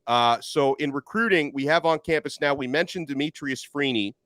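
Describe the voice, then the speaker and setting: A man in his thirties hosting a sports podcast over a video call, giving an energetic, rapid rundown of recruiting news. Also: on the high side, no echo. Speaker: podcast host. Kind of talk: casual sports podcast chat. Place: home studio, video call. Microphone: desk microphone.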